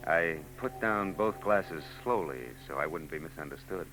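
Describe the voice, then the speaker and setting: An actor as a wry, wisecracking private eye. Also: male voice, deep voice, normal speed, natural voice, poor audio. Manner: dry, wisecracking. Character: wry, world-weary male private eye. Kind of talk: 1940s radio acting. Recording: old radio broadcast with music.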